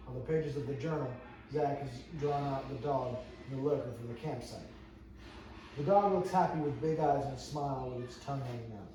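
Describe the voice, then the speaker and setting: A man reading stage directions aloud at a script table read, as narrator. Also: mid-range voice. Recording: very echoey room, distant mic, noisy.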